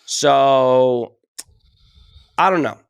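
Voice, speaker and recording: A man's voice: mid-range voice, drawn-out words, creaky, clean tone. A young man on a casual sports podcast, ranting with lots of energy while he is losing his voice from being sick. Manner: animated, fired-up ranting. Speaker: podcast co-host.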